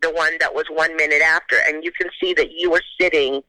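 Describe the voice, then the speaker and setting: A woman guest on a phone call, telling a story in a casual, animated way and acting out her own reactions. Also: low voice, fairly quick, no room sound.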